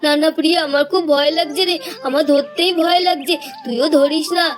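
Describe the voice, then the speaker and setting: A woman's voice: high-pitched, steady tone, fairly quick, pitched below the performer's own voice, natural voice. A woman voicing a timid, anxious young boy who pleads nervously in a children's doll cartoon. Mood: frightened.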